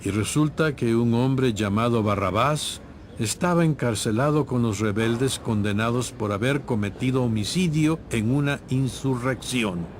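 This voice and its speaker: A man's voice: medium pitch, measured pace, slight background noise. A man reading scripted, dramatized Bible narration. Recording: music bed behind narration.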